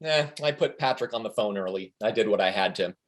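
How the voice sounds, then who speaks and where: A man playing an excitable, paranoid and spaced-out friend in a comic play reading over a video call, with theatrical delivery. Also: on the low side, a little fast, natural voice. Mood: suspicious, insistent. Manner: theatrical, wildly swooping delivery. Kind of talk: comic stage script table read. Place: home, online video call.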